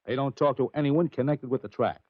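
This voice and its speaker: A man's voice: medium pitch, a little fast, dry recording, close to an everyday voice. An actor playing a frustrated, plain-talking American betting man in a 1940s radio drama. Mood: frustrated, puzzled. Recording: dull, dry 1940s radio recording.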